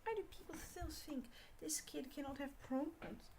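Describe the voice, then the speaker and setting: A young woman with a Scottish accent chatting casually on an art livestream, rambling and scolding herself out loud in exasperation. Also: sits high, normal speed, slight background noise. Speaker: art streamer. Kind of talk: casual livestream chat while drawing.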